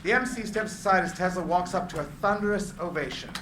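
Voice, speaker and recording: A man reading screenplay stage directions as narrator, with deliberate descriptive phrasing. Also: high voice. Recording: echoey room, clear background noise.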